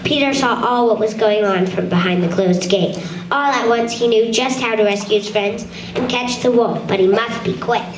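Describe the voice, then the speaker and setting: A woman's voice: fairly high pitch, normal speed. A woman reading a children's story aloud as narrator of a puppet show, sometimes stumbling over the words. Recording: echoey room, far from mic, noisy.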